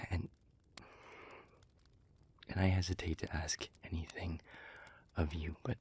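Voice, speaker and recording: Gentle, remorseful demon , played by a man, for intimate audio roleplay: gravelly, subdued and flat in melody, near-whispered, careful and hesitant. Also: full character voice. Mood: tender, remorseful. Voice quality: breathy, hushed, near-whispering low voice. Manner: halting, earnest, careful, with long pauses.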